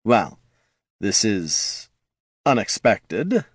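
Man as undead lich father, fantasy audiobook, pedantic, defensive, haughty, very animated pitch, dry studio sound. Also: extremely slow, husky, no echo, heavily acted. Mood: awkward, defensive. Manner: pedantic, self-justifying.

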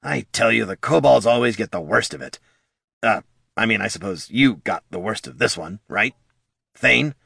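A man's voice: fairly low pitch, lively intonation, normal speed, creaky, pushed and bright, breathy edge, no echo, clean studio sound, heavily acted. A man voicing a small, scrappy male kobold who rambles nervously and talks himself in circles. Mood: grumbling.

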